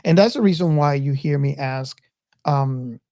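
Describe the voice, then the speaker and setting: Woman, podcast host, slow delivery, mellow dark tone, halting phrasing, reflective film talk. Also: extremely low pitch. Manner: halting, with frequent repeated words and emphatic stretches.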